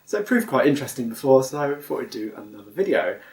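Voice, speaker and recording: British man, relaxed chuckly home-channel host, roomy sofa recording. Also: medium pitch, fast. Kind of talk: casual chat. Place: home living room sofa.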